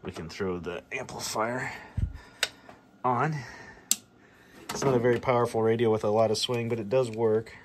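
A man's voice: medium pitch, steady tone, normal speed, long pauses. A man giving a casual, plainspoken hands-on demonstration in an indoor workshop. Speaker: host of a radio repair video. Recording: clear background noise, workshop ambience.

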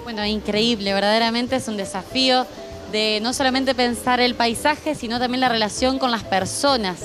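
Woman reporter, high voice, upbeat, faint music under her. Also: normal speed. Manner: enthusiastic, presenter-like. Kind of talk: TV reporting and interviewing.

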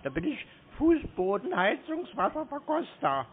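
A man playing a dim, stubborn young man who stalls a caller in a slow-witted, literal way on a prank phone call. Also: wildly swooping pitch, full character voice. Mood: earnest. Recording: muffled low-bandwidth phone call.

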